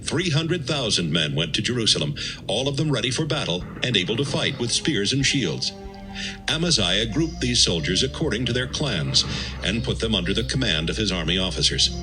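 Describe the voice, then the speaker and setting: A man's voice: on the low side, big pitch swings, normal speed, poor audio. A man giving a scripted, dramatic narration of scripture as the narrator of an audio Bible. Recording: music bed behind the voice.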